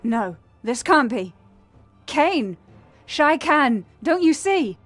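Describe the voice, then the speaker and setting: An actress playing a proud, defiant demoness with a haughty, dramatic delivery in a fantasy game cutscene. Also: female voice, sits high, big pitch swings, takes it slowly, fairly bright tone, faint music behind, full character voice. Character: proud, defiant female demon devoted to her love.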